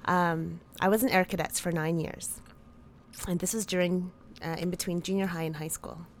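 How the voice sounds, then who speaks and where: A woman's voice: fairly low pitch, steady tone, normal speed, a little hiss or hum. Chatty woman laughing between answers. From Philippines. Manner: chatty, laughs often, with restarts. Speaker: podcast guest. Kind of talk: casual interview answers.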